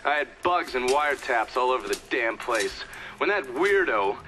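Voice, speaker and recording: An actor playing a seasoned insider recounting operations in a plain-spoken, matter-of-fact interview style. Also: male voice, mid-range voice, expressive, moderate pace, bright, forceful tone, faint music behind, rough recording.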